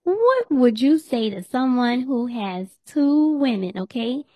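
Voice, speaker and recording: Podcast host, woman, hoarse and losing her voice, higher-pitched, teasing chat in a dry room. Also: very animated, slow, darkish tone, no echo. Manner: chatty, laughs often, teasing. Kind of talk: casual chat.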